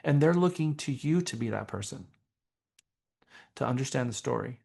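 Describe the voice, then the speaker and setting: A man teaching a professional class as a trainer, speaking in a deliberate, emphatic way with rhetorical questions. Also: medium pitch, expressive, creaky, a little mellow, breathy edge. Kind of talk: motivational training seminar talk.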